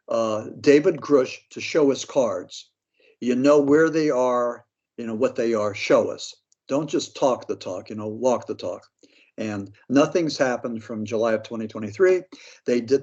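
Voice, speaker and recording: A man's voice: medium pitch, a little mellow. Blunt American man, dull lo-fi sound. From Pennsylvania, United States. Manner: blunt, skeptical, slangy. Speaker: interview guest, paranormal author. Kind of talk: casual opinionated interview talk.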